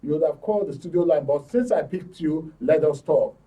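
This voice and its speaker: A man's voice: fairly high pitch, brisk, dark tone. A man with a Nigerian accent hosting a live radio phone-in, instructing callers in an orderly way. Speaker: radio host. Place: radio studio. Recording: dull, distant studio sound.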